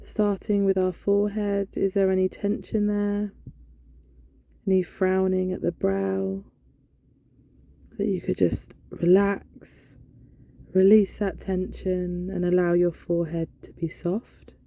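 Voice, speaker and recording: A woman leading a guided body scan meditation in a gentle, soothing, instructional manner. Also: mid-range voice, level tone, slow, creaky. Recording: dull, lo-fi, roomy echo.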